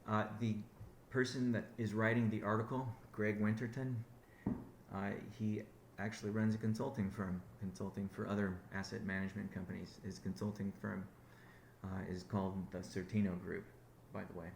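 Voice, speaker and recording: A man's voice: on the low side, little pitch movement, moderate pace, gravelly, reverberant, slight background noise. A man giving a rambling business update on a conference call, often restarting his sentences. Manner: rambling, self-correcting, many restarts. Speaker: company founder on a conference call.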